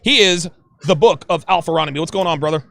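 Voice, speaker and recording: A man hosting a casual panel podcast, animated and joking, often laughing, with a high-pitched voice. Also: fast, creaky, no echo, clean studio sound. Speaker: podcast host.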